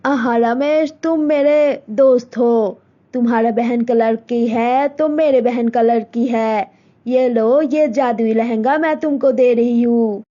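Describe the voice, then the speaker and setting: A generous magician woman in a storybook cartoon, voiced by a woman. Her voice is high but mellow, and she speaks slowly and reassuringly. The recording sounds dull and lo-fi. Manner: warmly reassuring. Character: kind female magician friend, generous.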